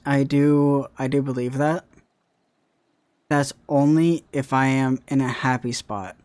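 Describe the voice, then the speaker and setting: A man's voice: mid-range voice, steady tone, takes it slowly, darkish tone. A young man, a content creator, chatting casually about his future, rambling and often repeating himself with "right?". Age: young adult. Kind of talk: casual chat.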